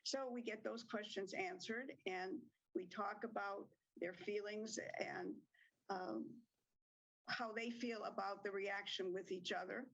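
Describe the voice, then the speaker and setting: A woman's voice: medium pitch, steady tone, a little slow, creaky, a bit bright, highs cut off. A woman who directs community theatre, answering interview questions hesitantly with many fillers and small laughs.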